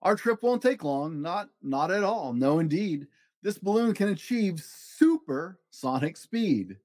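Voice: medium pitch, animated, a little slow, darkish tone, no room sound, natural voice. A reader's exuberant, eccentric storybook balloon pilot man. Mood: grandly enthusiastic. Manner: theatrical, exclamatory, rhyming. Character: exuberant eccentric male balloon pilot, chief soup supervisor.

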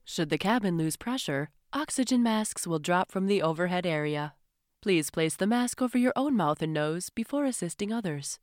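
A woman's voice: lowish voice, animated, normal speed, darkish tone, no room sound, clean studio sound. A woman announcer reading a scripted podcast intro in the style of a flight attendant's announcement. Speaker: show announcer. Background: music.

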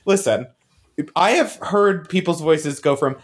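A man's voice: fairly high pitch, lively intonation, a little slow. A man co-hosting a podcast in casual, joking chat, with a campy, hyperbolic way of talking. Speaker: podcast co-host.

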